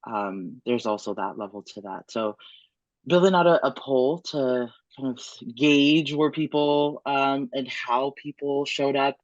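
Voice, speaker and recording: A man from the United States talks on a video panel call in a middle pitch, stopping often with "um" and restarting his sentences. The call audio is dull and muffled and has a dry, close sound with no room echo. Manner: halting, many "um"s and restarts. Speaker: community organizer, panelist.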